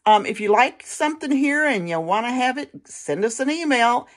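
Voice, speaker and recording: A woman's voice: on the high side, expressive, moderate pace. A woman host casually showing off her thrift finds, chatty and rambling with lots of fillers and restarts. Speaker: vintage reseller host. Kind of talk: casual haul show-and-tell narration.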